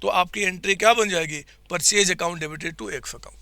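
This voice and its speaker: Bright-voiced man teaching an online class. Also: high voice, brisk, dry recording. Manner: coaxing, asks the students questions and answers them himself. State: throat getting hoarse. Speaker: accounts teacher. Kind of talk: live online class lecture.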